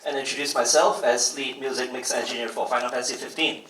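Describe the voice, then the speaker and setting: A man's voice: medium pitch, fairly even intonation, fast talker, far from the mic, crowd noise. A man from Malaysia with a Boston-tinged American accent, introducing himself on stage in a friendly, self-assured way. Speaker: music mix engineer presenting at a launch event. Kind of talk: stage presentation to an audience. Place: large event hall. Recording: echoey hall, voice from off-mic.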